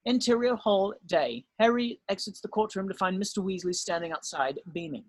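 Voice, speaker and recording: Male table-read narrator, notably high voice, close dry recording, reading scripted scene directions. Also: moderate pace, no room sound.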